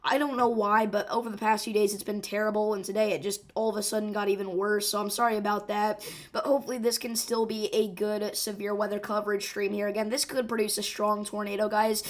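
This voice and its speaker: A woman hosting a live severe weather stream, her voice hoarse and rough, talking urgently and informally with many fillers and restarts. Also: mid-range voice, little pitch movement, fast talker. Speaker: severe weather livestream host.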